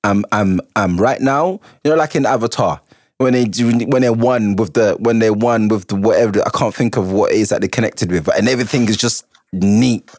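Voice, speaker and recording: A man's voice: lowish voice, a little fast, a little mellow, no room sound, clean studio sound. A man hosting a casual discussion, informal and enthusiastic, often feeling his way through questions. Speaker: interviewer, host. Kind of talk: casual chat.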